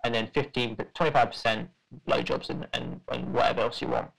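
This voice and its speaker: A young man talking at an ordinary middle pitch, telling a story in a loose, rambling way. He stops and restarts often and fills the gaps with ums and likes. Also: brisk, gravelly, a bit bright, poor audio. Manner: rambling, many fillers and restarts, laughs at own story. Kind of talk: casual podcast storytelling.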